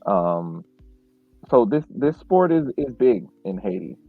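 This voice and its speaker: Low-voiced man on a tinny line. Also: sing-song, slow pace, vocal fry, no echo, faint music behind. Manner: reflective storyteller, frequent fillers and restarts. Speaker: podcast co-host. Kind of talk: casual podcast chat. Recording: tinny, phone-like dry sound.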